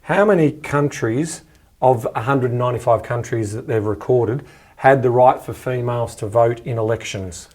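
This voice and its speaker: A man's voice: mid-range voice, moderate pace, a little mellow. A man with an Australian accent chatting enthusiastically as a podcast co-host in a casual style. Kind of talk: casual chat.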